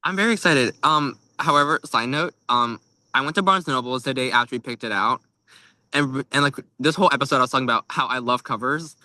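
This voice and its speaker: Young man with a bright, dry voice. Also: medium pitch, fast, no room sound, rough recording. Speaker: podcast co-host. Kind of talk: casual book club chat. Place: outdoors by a tree at a lake.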